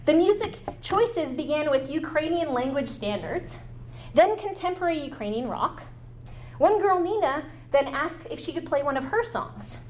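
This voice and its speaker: A woman guest lecturer giving an academic talk, partly read aloud, halting at times with fillers and restarts. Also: high voice, lively intonation, darkish tone, a little hiss or hum, low-quality recording.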